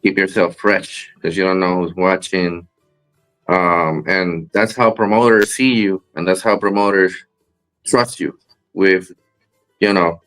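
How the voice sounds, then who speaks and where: Low-voiced man, halting. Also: fairly even intonation, fairly bright tone, soft background music, rough recording. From Puerto Rico. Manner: halting, with many fillers and restarts. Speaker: guest wrestler. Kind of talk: casual interview chat.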